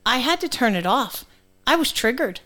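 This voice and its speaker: A woman's voice: a little high, very animated, normal speed, fairly bright tone. Blunt woman with a New England accent. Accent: New England, with some Southern. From New England, United States. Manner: blunt, sweary, teasing. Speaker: podcast co-host. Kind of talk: casual chat with presented research.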